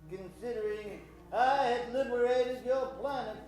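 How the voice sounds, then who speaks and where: A man playing an elderly, heroic scientist in an improvised old-time radio comedy, calm and defiant with deliberate dramatic delivery. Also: very high-pitched. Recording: echoey room from far off, music behind.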